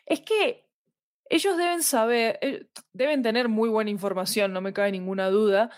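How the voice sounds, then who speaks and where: A woman's voice: fairly high pitch, normal speed, no echo. Latin American woman in thoughtful conversation, dry sound. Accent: Latin American Spanish. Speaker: interview guest, audio fiction expert. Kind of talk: casual conversational interview.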